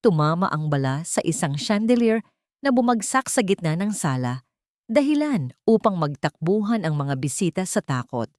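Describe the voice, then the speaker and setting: A woman's voice: fairly low pitch, big pitch swings, normal speed, darkish tone, no echo, clean studio sound. A woman narrating a dramatic scripted story in an intense, emotive way.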